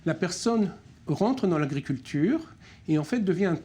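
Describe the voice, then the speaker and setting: A middle-aged French-speaking man, co-director of a nonprofit, answering interview questions with many pauses, fillers and restarts. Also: high-pitched, lively intonation, dark tone.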